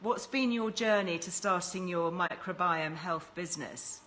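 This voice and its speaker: A woman's voice: lowish voice, unhurried, fairly bright tone, a little hiss or hum. Chatty, enthusiastic woman host. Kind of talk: casual live-stream chat.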